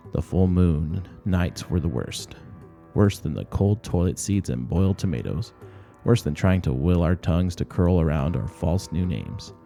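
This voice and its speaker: A man's voice: deep voice, creaky, mellow. A man reading a short story aloud as scripted audiobook narration.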